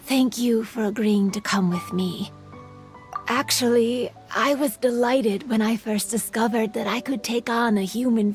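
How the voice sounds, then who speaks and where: A woman playing a gentle, wistful young female spirit in human form in an anime game, speaking softly, hesitantly and sincerely. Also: a little high, lightly acted. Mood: gentle, shy. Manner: soft, hesitant, sincere, with breathy pauses. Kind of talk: anime game voice acting, dramatic monologue. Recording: soft music behind the voice.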